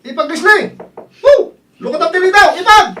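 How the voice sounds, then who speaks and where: A woman playing a frantic, excitable in-law with urgent, exclaiming delivery in an Ilocano radio drama. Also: very high-pitched, normal speed, background noise. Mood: panicked.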